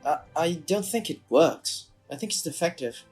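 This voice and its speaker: An actor voicing an earnest teenage schoolboy in an audio drama, with a halting, questioning manner. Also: medium pitch, lively intonation, darkish tone, breathy edge, soft background music. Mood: bewildered. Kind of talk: scripted audio drama acting.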